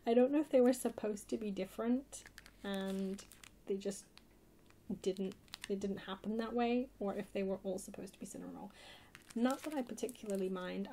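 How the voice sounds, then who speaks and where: A soft-spoken woman chatting casually in a rambling way for an ASMR haul video. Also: mid-range voice, rough recording. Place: indoors at a table, hands-only camera. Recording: clear background hiss or noise.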